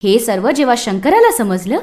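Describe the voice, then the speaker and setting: A woman narrating a scripted mythological story for children in an expressive, dramatic way. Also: on the high side, moderate pace.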